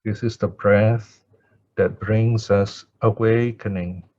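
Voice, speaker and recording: A man from Singapore who teaches Buddhist meditation, speaking or chanting in calm, measured, short phrases with pauses. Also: lowish voice. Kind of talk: Pali chanting and slow guided breath meditation. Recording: thin phone-like audio, very dry.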